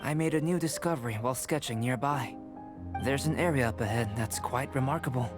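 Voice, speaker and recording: A man voices a young scholar in a video game. He is calm, precise and aloof, speaking formally at an ordinary male pitch. Music plays clearly behind him. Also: close to an everyday voice. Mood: analytical. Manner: measured, formal, detached. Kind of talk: video game character acting. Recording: background music under the voice.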